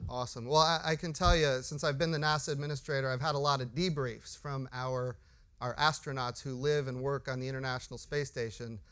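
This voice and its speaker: A middle-aged man hosting a live panel as an agency administrator, warm and prone to restarts and repeated words. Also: mid-range voice, fairly even intonation, fairly quick, smooth.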